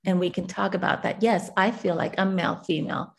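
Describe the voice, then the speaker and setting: A woman in her forties from Chile, an artist reflecting in a relaxed interview over a video call, laughing often. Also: lowish voice, fairly quick, gravelly.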